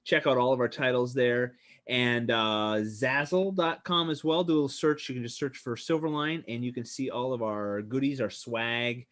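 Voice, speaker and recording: A man hosting a casual livestream chat, excitable and stammering, repeating his exclamations. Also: medium pitch, moderate pace. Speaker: show host.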